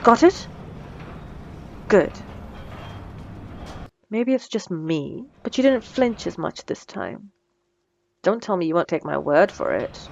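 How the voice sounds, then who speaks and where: A woman's voice: on the low side, animated, slow pace, vocal fry, pauses often, darkish tone, muffled recording, slight background noise, heavily acted. A woman playing a wry, menacing supervillain in a scripted audio roleplay, teasing and condescending, with cool threats. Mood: grudgingly impressed, then amused, warning. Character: wry, menacing female supervillain mentoring a captive assistant.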